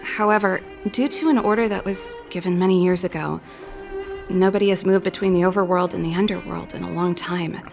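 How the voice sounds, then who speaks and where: An actress playing a sly, money-minded workshop owner in a video game, friendly with a coy, teasing manner. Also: female voice, fairly low pitch, fairly even intonation, brisk, vocal fry, darkish tone, soft background music. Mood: informative. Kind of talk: English video game voice acting.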